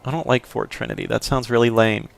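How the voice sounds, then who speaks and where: A young man commenting on his game in a joking, offhand way, often getting excited. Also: medium pitch, fast, gravelly, no echo. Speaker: let's play host. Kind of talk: casual gameplay commentary.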